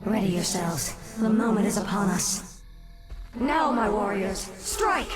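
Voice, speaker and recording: A woman playing a cold, vengeful undead elf queen in a fantasy game, speaking in a deliberate, imperious way over dramatic music. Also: mid-range voice, expressive, lightly acted. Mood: commanding. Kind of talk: scripted fantasy game dialogue.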